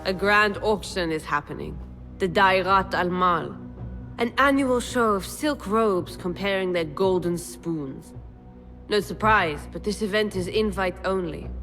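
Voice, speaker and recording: Blunt, scornful assassin. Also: female voice, mid-range voice, unhurried, fairly bright tone, soft background music. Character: blunt sharp-tongued woman assassin.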